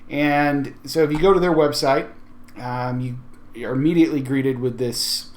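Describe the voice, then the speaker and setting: A man with a mid-pitched voice hosts a software tutorial. He talks informally and conversationally, thinking aloud as he walks through each step. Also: fairly even intonation, normal speed. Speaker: tech video host. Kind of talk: casual software tutorial walkthrough.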